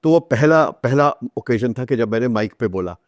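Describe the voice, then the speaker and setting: A man's voice: medium pitch, animated, moderate pace, no room sound, studio quality. A man in his sixties or older with an Indian accent, chatting as a podcast guest and telling anecdotes, slipping English phrases in. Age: 60s or older. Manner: switches into English phrases, acts out quoted dialogue. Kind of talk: conversational anecdotal storytelling.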